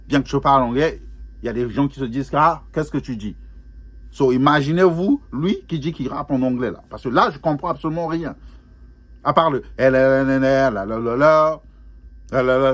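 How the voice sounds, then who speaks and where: A man reacting to music in a loose, talkative way, circling back to the same phrase again and again and tossing English words into his sentences. He laughs easily as he goes. His voice sits at an ordinary middle pitch for a man. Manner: chatty, repeats phrases, drops English words into French; laughs often while talking. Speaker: music reaction video host. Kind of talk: casual spoken reaction commentary.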